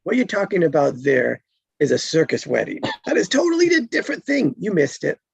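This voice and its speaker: A woman reading the part of a friendly, teasing police officer with a husky, creaky voice, in a casual table read. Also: lowish voice, wildly swooping pitch, brisk, dry recording, full character voice. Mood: playful, encouraging.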